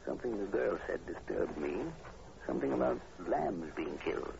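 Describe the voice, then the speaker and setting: An actor playing a calm, analytical professor with a measured, polite manner in a 1940s radio horror drama. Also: male voice, deep voice, vocal fry, exaggerated voice. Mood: thoughtful. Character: calm, analytical male professor investigating strange killings. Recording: muffled, noisy 1940s radio recording.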